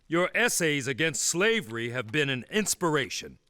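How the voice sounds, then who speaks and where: An earnest, courteous cartoon tradesman. His bright, slightly high voice moves expressively and stays polite. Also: very animated, normal speed, dry recording, studio quality. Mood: respectful. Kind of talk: animated series voice acting.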